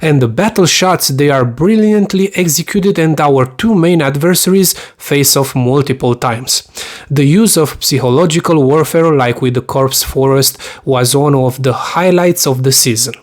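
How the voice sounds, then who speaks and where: A man's voice: a little high, normal speed. Romanian-accented man hosting a review. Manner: earnest, explanatory, slightly formal phrasing. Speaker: YouTube host, mythology teacher. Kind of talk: scripted review narration.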